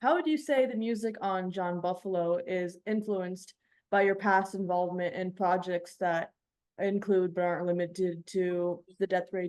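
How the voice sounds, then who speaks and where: A woman hosts a radio interview in a casual, conversational style. Her voice is slightly low for a woman, and she talks as a DJ chatting with a guest. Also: a little mellow.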